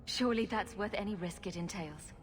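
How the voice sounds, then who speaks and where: An actress playing a resolute, compassionate heroine in a fantasy video game. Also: female voice, medium pitch, a little fast, slight background noise, close to an everyday voice. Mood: concerned, questioning.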